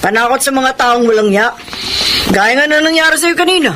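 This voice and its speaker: A high-pitched, easygoing neighbor chats casually and with amusement, played by a man. Hiss sits behind the voice in this radio drama. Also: expressive, a little fast. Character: chatty neighbor with a fake gun. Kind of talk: classic radio drama acting. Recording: radio drama with background noise.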